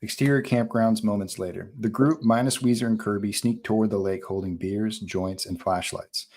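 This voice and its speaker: A man with a fairly low voice narrates a screenplay at a live read, giving scene directions between lines of dialogue. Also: fairly even intonation, moderate pace, a little mellow. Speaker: narrator reading stage directions.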